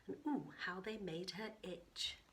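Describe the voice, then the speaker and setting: A woman reading a picture book to young children in an expressive storytelling style, pausing for chatty questions and asides. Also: lowish voice, background noise. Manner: animated, addresses young listeners with questions. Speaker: teacher reading story time.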